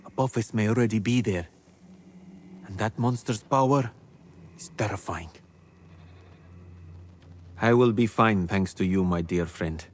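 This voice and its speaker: A man's voice: fairly low pitch, measured pace, long pauses, slight background noise, lightly acted. A man voicing a formal, old-fashioned male keeper of ancient secrets in a video game cutscene. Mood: grave, earnest. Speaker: game character.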